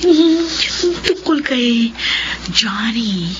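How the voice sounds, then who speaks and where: A woman's voice: animated, drawn-out words. An actress playing a greedy, scheming female cousin who talks in a wheedling, theatrical way. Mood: prying. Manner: wheedling, theatrical, pitch swoops. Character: scheming woman cousin, Bel, pushy and greedy. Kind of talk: radio drama acting. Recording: dull lo-fi sound with faint noise.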